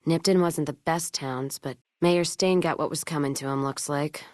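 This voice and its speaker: Actress as blunt wasteland woman, low voice, wry, wary, hard-drinking, folksy clipped delivery, dry close studio sound, video game companion. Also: fairly even intonation, normal speed, darkish tone, no room sound, natural voice. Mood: grim, matter-of-fact.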